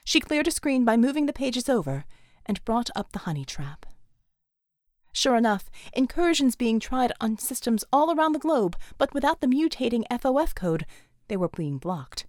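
A woman's voice: a little high, very animated, normal speed, a little mellow, no room sound, clean studio sound. A woman reading dramatic action fiction as a scripted audiobook narration.

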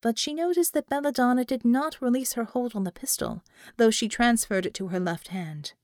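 A woman's voice: a little high, normal speed, a little mellow, no room sound, studio quality. A woman reading a scripted fiction audiobook as its narrator, in a dramatic storytelling style.

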